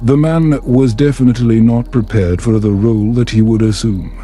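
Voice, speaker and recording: A man tells a bedtime story in a soothing, measured style. His voice is mellow and relaxed, with a medium pitch, and he takes his time over the scripted narration. Soft music plays behind him. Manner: soothing, measured, unhurried delivery.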